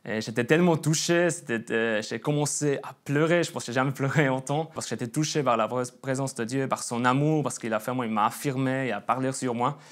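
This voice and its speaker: A man's voice: medium pitch, fairly quick, hardly any pauses. A man in his twenties from Switzerland with a Swiss German accent and a Swiss German mother tongue, giving a faith testimony in an interview, hesitant, with many fillers and restarts. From Emmental, Bern, Switzerland. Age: 20s.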